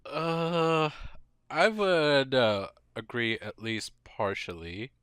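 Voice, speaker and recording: A man co-hosting a podcast, discussing casually in measured, hesitant phrases. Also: mid-range voice, fairly bright tone, clean tone, no echo. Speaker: podcast co-host.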